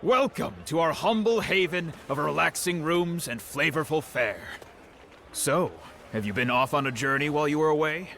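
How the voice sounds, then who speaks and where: An actor playing a welcoming, chatty, courteous innkeeper in a video game. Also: male voice, a little high, normal speed, fairly bright tone, a little hiss or hum.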